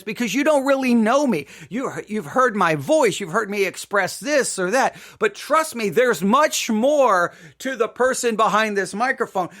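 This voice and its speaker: Man, middle-aged, from Texas, high-pitched, podcast monologue, emphatic repetition, personal storytelling. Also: a bit bright. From Texas, United States. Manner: emphatic, repetitive, seeking agreement with "right?". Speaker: podcast host.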